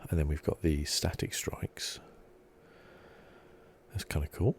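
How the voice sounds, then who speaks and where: A soft-spoken man giving relaxed, rambling ASMR commentary as he plays a video game, thinking aloud. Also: slow pace, slight background noise. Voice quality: soft-spoken, low-key.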